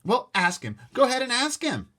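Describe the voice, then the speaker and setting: A man voicing an upset young schoolboy who shouts and pleads, for an audiobook. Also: medium pitch, huge pitch swings, brisk, slightly rough, pitched above the performer's own voice, full character voice. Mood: frantic.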